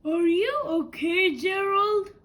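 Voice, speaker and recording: A matter-of-fact storybook cat doctor played by a child, plainly reassuring. The voice is very high and bright, spoken very slowly. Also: full character voice.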